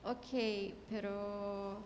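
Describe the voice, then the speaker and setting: Lady in the audience asking something, real slow, echoey room, a little noise. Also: mid-range voice, level tone, a little mellow. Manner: slow, careful questioning. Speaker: audience member asking a question. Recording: distant voice in a reverberant hall.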